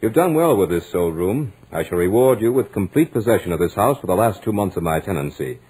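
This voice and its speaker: An actor playing a self-assured, studious young gentleman narrating his own tale in measured, literate 1940s radio drama style. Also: lowish voice, sing-song, slightly rough, exaggerated voice, low-quality recording. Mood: composed, amused.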